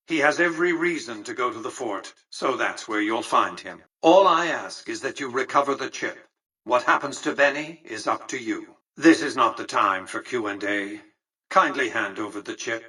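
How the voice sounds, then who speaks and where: Casting: older tycoon-ruler for a game, condescending and calculating, crisp forceful edge over a husky grain, slightly off-mic. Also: male voice, medium pitch, far from the mic, rough recording. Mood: curt, impatient. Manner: pompous, erudite, precise, dryly sardonic. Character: imperious, calculating tycoon ruler of a casino city, condescending and dry. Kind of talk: video game character dialogue.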